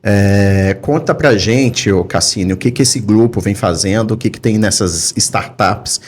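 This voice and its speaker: A man's voice: fairly low pitch, unhurried, a little hiss or hum. A man with a Brazilian accent hosting a podcast interview in a casual, chatty, informal way. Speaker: podcast host.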